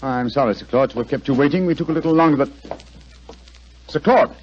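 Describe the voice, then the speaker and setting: An actor playing a brisk, courteous English detective with a clipped, urbane, authoritative delivery in 1940s radio mystery style. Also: male voice, medium pitch, darkish tone, a little hiss or hum, natural voice. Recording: dull, lo-fi vintage radio recording.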